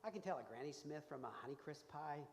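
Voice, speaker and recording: A man's voice: on the high side, animated, quick pace, vocal fry. A man playing a cocky, dim, laid-back braggart of a pie taster in a live radio comedy. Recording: noisy, reverberant hall stage recording.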